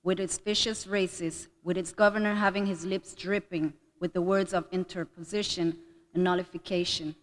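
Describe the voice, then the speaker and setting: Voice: medium pitch, fairly even intonation, normal speed. A male voice giving a dramatic reading of a speech as oratory at a commemorative event. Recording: reverberant hall.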